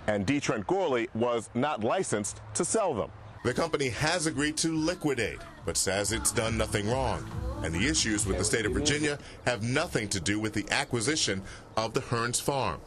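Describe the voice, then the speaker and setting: A man narrating a TV news report in a steady, measured way. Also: mid-range voice, slight background noise.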